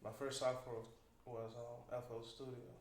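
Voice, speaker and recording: A young man from the Dominican Republic chats about making beats in a relaxed, matter-of-fact tone. His voice is flat and even in pitch. It sounds roomy and a little far from the mic, with faint noise underneath. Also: normal speed. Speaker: music producer guest. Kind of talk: casual podcast chat.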